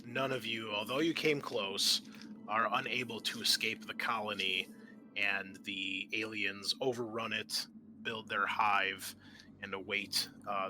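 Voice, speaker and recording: A man's voice: on the low side, noisy background. A man acting as game master, narrating a tabletop roleplaying game in casual, sweary language.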